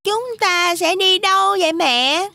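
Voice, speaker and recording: A woman's voice: extremely high pitch, unhurried, creaky, pushed and bright, dry recording, heavily acted. A woman voicing a small, curious, childlike goat kid in a children's cartoon. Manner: childlike, inquisitive.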